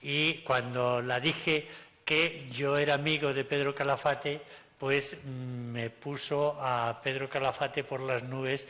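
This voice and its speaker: Slow, flat-toned man introducing a speaker. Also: mid-range voice. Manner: halting, full of fillers. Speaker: event host. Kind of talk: formal introduction. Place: lecture hall. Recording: reverberant lecture hall.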